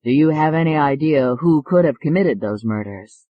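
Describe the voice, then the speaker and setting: A narrator voicing a calm male psychiatrist with a slow, soothing manner in an audiobook. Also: on the high side, no room sound, dull audio, natural voice. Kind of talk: audiobook character voice.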